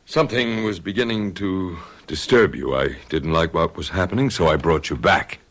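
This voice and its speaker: An actor playing a calm doctor who questions a hypnotized patient in a radio drama. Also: male voice, low voice, normal speed. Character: calm probing male doctor.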